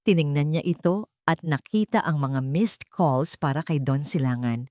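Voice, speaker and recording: A woman's voice: low-pitched, normal speed, a little mellow, no room sound, studio quality. A woman reading a dramatic story as a scripted narration.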